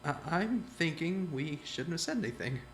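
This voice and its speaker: An actor plays a jittery young man who stumbles and stutters over his words in a mid-pitched voice. A faint hum sits behind the voice. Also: very animated, moderate pace. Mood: stammering. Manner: stammering and anxious. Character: nervous, jumpy young man.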